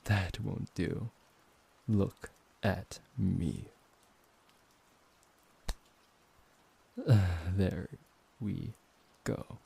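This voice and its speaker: A man playing a possessive, seductive vampire professor in an intimate ASMR roleplay, teasing and dominant, with a gravelly, husky voice. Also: deep voice, full character voice. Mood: commanding. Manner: slow, hushed, coaxing, condescendingly affectionate.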